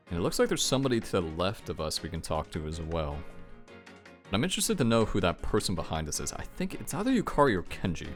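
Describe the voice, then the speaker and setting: A man giving casual, rambling, off-the-cuff reaction commentary on video games, thinking aloud and speaking frankly. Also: on the low side. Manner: rambling, self-questioning, frank with some swearing.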